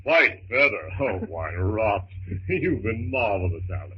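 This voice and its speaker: A man's voice: lowish voice, sing-song, measured pace, breathy edge, heavily acted. A man playing a bluff, reassuring English husband and jungle adventurer in a 1930s radio drama style. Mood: soothing. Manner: bluff, jaunty, soothing. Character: hearty, reassuring English husband, stiff-upper-lip colonial adventurer. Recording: muffled, hissy 1930s radio recording.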